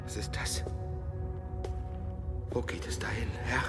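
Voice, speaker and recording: An actor playing a frightened, pious medieval monk in a radio play, muttering breathless pleas and prayers. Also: male voice, slow pace, in a whisper, close to an everyday voice. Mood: awed, anxious. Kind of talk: German radio play acting. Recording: long stone-hall reverb, music bed.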